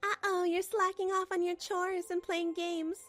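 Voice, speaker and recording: Woman voicing a high-pitched, bratty teenage schoolgirl. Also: little pitch movement, unhurried, a bit bright. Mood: irritated. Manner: snotty, dismissive. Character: bratty, contemptuous sixteen-year-old schoolgirl stepdaughter. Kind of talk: manga dub acting.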